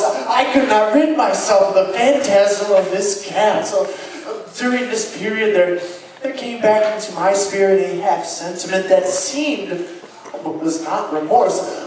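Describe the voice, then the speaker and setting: Guy on stage doing a haunted murderer confession, gothic horror, stammery and theatrical, super echoey, bit distant from the mic. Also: high voice. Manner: halting, stammering, repeating words mid-phrase. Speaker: stage performer giving a dramatic reading. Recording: echoey, distant live show recording, faint noise.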